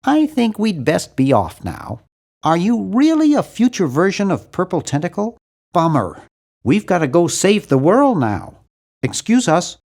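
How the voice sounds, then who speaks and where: A man voicing a nerdy, earnest and anxious young hero in a video game, with a fussy, animated delivery. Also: sits high. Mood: earnest, polite. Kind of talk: video game character voice lines.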